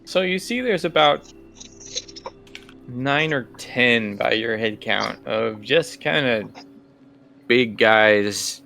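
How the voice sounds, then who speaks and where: A man working as game master, improvising scene narration for a tabletop role-playing game in a halting, thinking-aloud way. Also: medium pitch, lively intonation, bright, forceful tone. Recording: clear background room noise.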